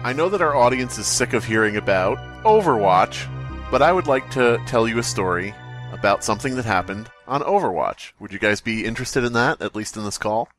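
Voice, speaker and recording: A man co-hosting a podcast, telling a story to friends in a casual, animated, sweary way. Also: mid-range voice, a little fast, music in the background. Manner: animated, profane, does voices in anecdotes. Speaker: podcast host.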